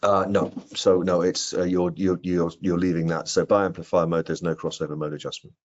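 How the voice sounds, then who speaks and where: A man's voice: deep voice, flat delivery, moderate pace. A middle-aged man answering technical questions informally on a video call, often repeating words as he explains. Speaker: product presenter answering audience questions. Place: indoor room on a video call. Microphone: video call microphone. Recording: dull, band-limited video-call audio.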